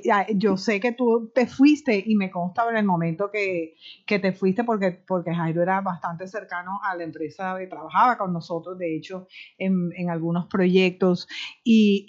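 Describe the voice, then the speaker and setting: Woman, interviewer, medium pitch, casual conversation, muffled lo-fi call audio.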